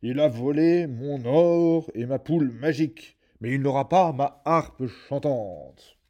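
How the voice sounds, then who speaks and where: A man voicing a greedy male ogre giant who roars slowly and loudly. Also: muffled recording, close to an everyday voice. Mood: furious. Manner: slow booming roar.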